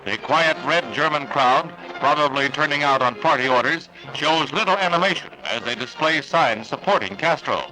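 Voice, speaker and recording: A man narrates in the formal American newsreel style of the 1960s. His voice sits a little high, and music plays clearly behind him on the vintage soundtrack. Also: lively intonation, moderate pace, few pauses, pushed and bright, low-quality recording. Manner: formal announcer delivery. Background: prominent music.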